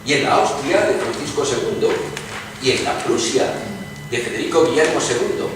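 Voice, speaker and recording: A man's voice: medium pitch, animated, normal speed, natural voice. An oratorical nineteenth-century debater played by a man speaks with swinging intonation in a radio play. He is emphatic, heard from far off through heavy echo and noise. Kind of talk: dramatized stage reading, radio theatre. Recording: echoey hall from afar, clear noise.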